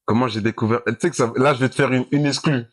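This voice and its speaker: A man's voice: medium pitch, fast talker. A young man from France, a football player talking casually in an interview, rambling and repeating himself as he plays a video game. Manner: relaxed, rambling, restarts and repeats phrases, slangy. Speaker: American football player, guest.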